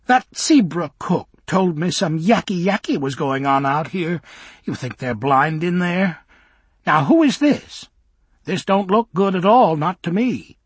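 A man's voice: sits high, expressive, measured pace, breathy edge, no room sound, voice pushed up, full character voice. A man voicing a fussy middle-aged woman minder who scolds in rustic, broken grammar. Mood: scolding.

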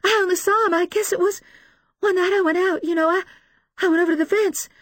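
Audiobook role: distraught mother, extremely high voice, halting, breathless and weepy, close dry recording. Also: animated, dry recording. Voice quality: tearful, wailing. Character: distraught, frightened, defensive grieving mother. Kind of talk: dramatised audiobook dialogue.

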